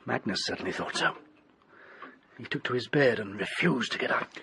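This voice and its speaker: A desperate, haunted British gentleman doctor in a radio drama. His delivery is ornate and grim, dwelling darkly on each phrase. The tone is bright and forceful, with faint noise behind it. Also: medium pitch, normal speed, vocal fry. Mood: ominous storytelling. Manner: ornate, theatrical, dwells on grisly detail.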